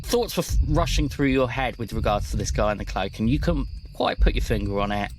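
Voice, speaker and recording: A man's voice: mid-range voice, animated, fairly quick, noisy background. A man working as game master, narrating a tabletop roleplaying game in a conversational way.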